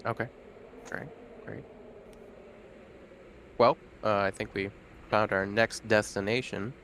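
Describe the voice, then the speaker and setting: A man's voice: on the low side, fairly even intonation, slow, vocal fry, a bit bright, a little hiss or hum. A man playing a tabletop game as a player, with laid-back, dry replies.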